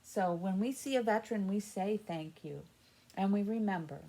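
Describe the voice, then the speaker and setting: A woman's voice: medium pitch, normal speed, dark tone, low-quality recording. A woman hosting a children's story time, explaining things in simple, encouraging words addressed to young kids. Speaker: library story time host.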